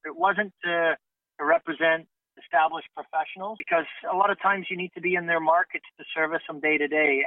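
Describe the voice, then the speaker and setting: A high-voiced Canadian man talks about his soccer agent work, earnest and stumbling over the first words of his sentences. He comes through a thin, tinny phone line. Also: no echo. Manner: earnest, repeats words when starting sentences. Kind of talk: casual interview talk.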